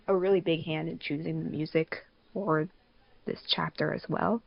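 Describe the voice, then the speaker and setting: A woman's voice: low voice, steady tone, normal speed, gravelly, no echo. Woman, podcast host, casual chat, rambling and giggly, lo-fi dry recording. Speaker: podcast host, fandub production crew member.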